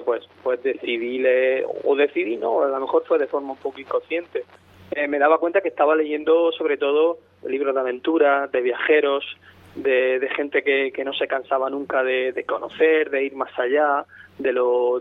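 A man from Murcia with a mixed Murcian and Andalusian Spanish accent, an author calling in to a radio interview, thoughtful and full of restarts. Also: mid-range voice. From Lorca, Murcia, Spain. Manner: thoughtful, many restarts and repeated words. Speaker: author and guest. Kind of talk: radio interview over the phone. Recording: phone line.

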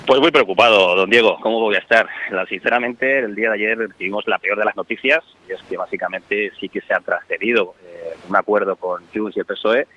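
Husky, fast-talking worried commentator. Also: male voice, fairly low pitch, bright, forceful tone, lo-fi sound. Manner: worried, analytical, with frequent "eh" fillers. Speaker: economic commentator. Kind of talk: radio commentary.

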